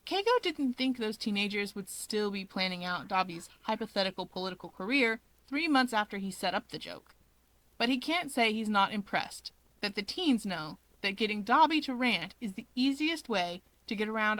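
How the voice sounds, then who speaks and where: A woman's voice: medium pitch, animated, moderate pace, a bit bright, dry recording, poor audio. Woman narrating fiction, close and dry. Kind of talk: expressive fan fiction narration.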